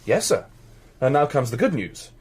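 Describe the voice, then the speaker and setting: A man's voice: mid-range voice, sing-song, normal speed, a little mellow, breathy edge. An actor playing an eager young police constable in a radio drama, earnest, formal and deferential as he reports. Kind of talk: naturalistic radio drama acting.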